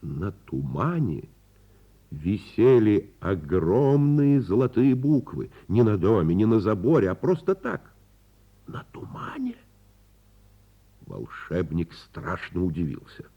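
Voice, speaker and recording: Radio play: a gentle bedtime storyteller, slow and lively in intonation, slightly dulled recording. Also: male voice, fairly low pitch, slightly rough, highs cut off, full character voice. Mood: wondering. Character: gentle father-like storyteller telling a bedtime tale.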